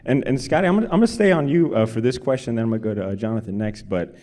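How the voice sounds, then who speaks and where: Male host, thirties, American, mellow and relaxed tone, medium pitch, conversational interviewer. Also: racing. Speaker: podcast host moderating a panel. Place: convention panel room, standing on a stage by a podium. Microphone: handheld microphone.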